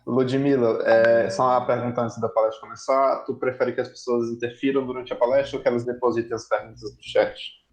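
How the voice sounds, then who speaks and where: A man's voice: mid-range voice, little pitch movement, fairly quick, roomy sound, low-quality recording. A man with a Brazilian accent casually hosting and moderating an online event over a video call.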